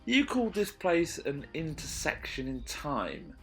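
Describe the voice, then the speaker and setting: A man's voice: fairly high pitch, sing-song, unhurried, faint music behind. A man playing a practical, blunt male companion in an audio drama who keeps asking questions and teasing his partner. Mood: puzzled. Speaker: sidekick. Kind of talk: fan audio drama acting.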